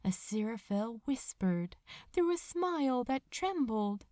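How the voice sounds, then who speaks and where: A woman reading a romantic story aloud as a narrator, with a dramatic, tender and measured delivery. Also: on the high side, very animated, mellow, no room sound, studio quality. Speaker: fan fiction audiobook narrator. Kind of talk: expressive scripted story narration.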